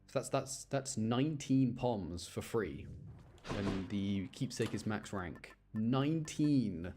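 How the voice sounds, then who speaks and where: A man with a fairly low voice chats through a video game as he streams it. He talks informally, working things out aloud as he goes. Game music plays clearly behind him. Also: lively intonation, slow, mellow. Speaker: livestreamer. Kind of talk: casual gaming commentary.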